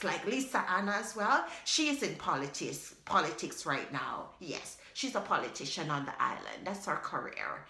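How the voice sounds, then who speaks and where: Brief: Jamaican woman, 30s, chatty phone vlog, bright lively voice, echoey kitchen. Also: mid-range voice. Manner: chatty, animated, laughs often, repeats "yes, guys". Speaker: vlogger. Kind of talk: casual talk to camera. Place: small home kitchen indoors. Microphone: phone camera at arm's length.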